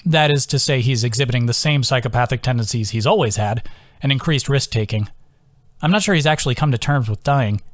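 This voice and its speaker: A man's voice: mid-range voice, a little fast, no room sound, studio quality, lightly acted. A man voicing an earnest, playful young male adventurer with a casual, bantering manner in an audiobook. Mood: serious.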